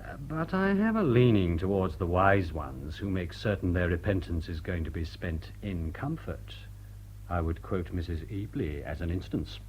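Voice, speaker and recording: An actor playing a suave, cynical gentleman thief posing as a butler, speaking in an urbane, drily ironic way. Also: low-pitched, expressive, moderate pace, slight background noise, rough recording. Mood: drily persuasive. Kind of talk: stage drawing-room radio drama.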